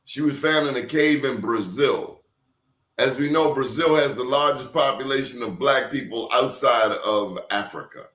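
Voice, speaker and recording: A man's voice: mid-range voice, moderate pace, a bit bright, roomy sound. A man talking as a professor guest in casual conversation, telling emphatic stories and throwing out rhetorical questions.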